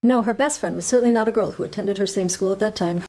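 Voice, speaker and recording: A woman's voice: mid-range voice, fast, dark tone, natural voice. An actress playing a grieving, genteel mother in a crime radio play, restrained and careful in her speech. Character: grieving, genteel mother, reserved and slightly snobbish.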